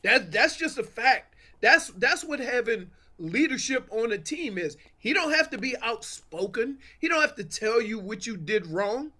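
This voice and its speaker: Very high-pitched, animated man talking sports. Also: unhurried, hardly any pauses. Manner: emphatic, colloquial, repeats phrases. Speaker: guest sports analyst on a show.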